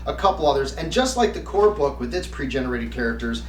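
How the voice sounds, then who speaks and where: A man hosting a review, explaining to viewers in an enthusiastic but halting, emphatic way. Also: mid-range voice, expressive. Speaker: host of a game review channel. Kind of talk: semi-scripted review talk to viewers. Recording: roomy, distant mic with faint hum.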